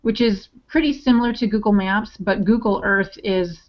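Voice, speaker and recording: A woman's voice: medium pitch, normal speed, crowd noise. A woman co-hosting a podcast, chatting casually as she relays comments and tips. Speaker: podcast co-host relaying chat-room comments. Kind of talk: casual chat. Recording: dull lo-fi online-call audio.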